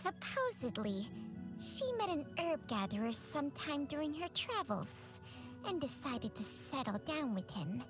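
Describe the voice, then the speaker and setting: A woman voicing a wise, gentle female snake spirit in a video game, composed and a little wistful. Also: sits high, sing-song, a little slow, music in the background. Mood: thoughtful.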